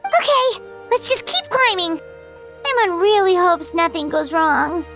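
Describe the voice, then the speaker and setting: A woman voicing a tiny, chirpy, anxious fairy companion with a squeaky childlike voice in an anime game dub. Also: a little slow, full character voice. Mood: worried. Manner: talks of self in third person. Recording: loud game music behind.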